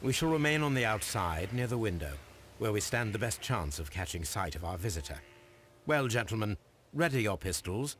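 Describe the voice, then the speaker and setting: A low-voiced British Victorian detective, shrewd and composed. He speaks formally and deliberately, with lively rises and falls, as in a mystery game. Night insects sound behind him. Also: slight background noise. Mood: composed, deductive. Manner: measured, assured, formal. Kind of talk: scripted video game acting.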